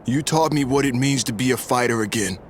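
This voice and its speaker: A man's voice: mid-range voice, a little fast, slight background noise, natural voice. An actor playing a humbled male fighter and former champion in a video game, speaking earnestly.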